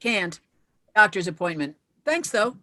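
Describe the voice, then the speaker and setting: A woman playing a brisk widowed mother working as an investigator in a screenplay table read. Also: mid-range voice, very animated, bright, forceful tone. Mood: defensive.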